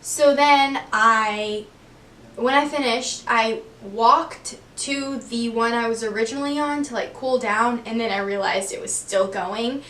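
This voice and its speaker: A woman's voice: on the high side, unhurried, fairly bright tone, echoey room, far from the mic. A young woman in her twenties from Texas, chatting casually to the camera with lots of "like" and "um", laughing often. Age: 20s. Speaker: vlogger. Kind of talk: casual get-to-know-me chat.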